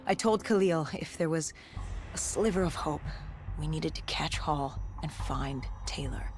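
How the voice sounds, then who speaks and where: A woman playing a haunted, weary soldier gravely recounting a zombie apocalypse in a video game. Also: low-pitched, measured pace. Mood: haunted, grim. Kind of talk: dramatic first-person story narration. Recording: music behind the voice.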